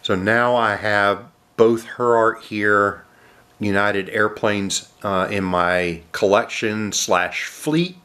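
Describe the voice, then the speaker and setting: A man with a cold, sounding nasal, casually narrating an unboxing of model airplanes with many filler sounds and throat clearing. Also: fairly low pitch, takes it slowly, bright, forceful tone.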